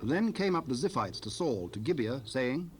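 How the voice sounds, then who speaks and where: A man narrating a dramatized scripture recording in a formal, measured storytelling manner. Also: mid-range voice, very animated, a little hiss or hum, lightly acted.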